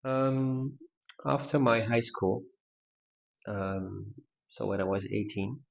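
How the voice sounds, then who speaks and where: A man in his forties with a Dutch accent talks through his answers as an interview guest, slowly and carefully, stopping often to restart a thought. His voice sits low and has a noticeable gravelly fry. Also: level tone. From Netherlands. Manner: measured, with frequent pauses and restarts. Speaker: neurologist guest.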